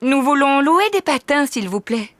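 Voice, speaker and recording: A woman's voice: high-pitched, animated, normal speed, background noise. A woman voicing a caring, sensible mother pig in a children's cartoon, with an instructive, motherly manner. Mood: helpful, instructive. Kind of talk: French children's cartoon dubbing.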